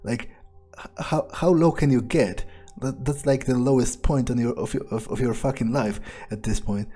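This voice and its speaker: A man playing a cynical, crude vampire who muses to himself in a halting, sardonic way during an improvised video game roleplay. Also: medium pitch, warm tone, close to an everyday voice. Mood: scornful. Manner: halting, musing, sardonic, swearing.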